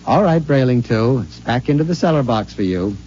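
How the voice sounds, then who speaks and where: An actor playing a meek, apologetic husband who talks briskly and stammers when cornered, in 1950s radio drama style. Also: male voice, medium pitch, expressive, mellow, lightly acted. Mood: brisk, placating. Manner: brisk and placating, breaking into stammering panic. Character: meek, apologetic middle-aged husband, easily flustered. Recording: dull old radio broadcast with hiss and long reverb.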